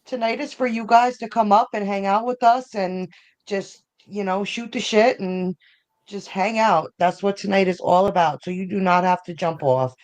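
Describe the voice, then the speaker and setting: A woman co-hosting a live call-in show talks in a deep, smoky voice. Her pitch sits in the middle of the range, and the sound is dry with no room echo. Her delivery is laid-back and teasing. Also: steady tone, normal speed, a little mellow. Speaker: co-host. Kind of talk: casual live panel chat.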